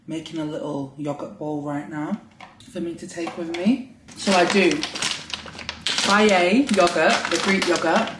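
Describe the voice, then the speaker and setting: A young woman with a deep voice, chatting to camera at home in a gushing, giggly way. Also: slight background noise. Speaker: vlogger. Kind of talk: casual chat to camera. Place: home bathroom at night, then a bright kitchen. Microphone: camera on a low stand. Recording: echoey room, camera a bit far.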